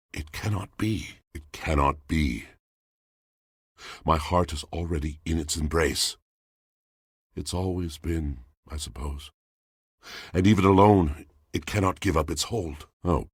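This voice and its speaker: An actor playing a brooding, poetic washing machine in a video game, with a deep gravelly voice and a grandiloquent, melodramatic delivery. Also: male voice, dry recording, clean studio sound, full character voice. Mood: stiff, offended. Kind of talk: video game character acting.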